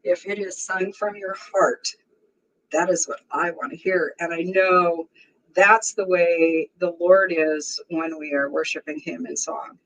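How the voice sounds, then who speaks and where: A woman's voice: on the low side, steady tone, unhurried, fairly bright tone, a little hiss or hum, low-quality recording. A woman in her sixties with a strong voice, hosting a casual livestream and chatting easily with her viewers, often laughing. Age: 60s. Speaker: livestream host.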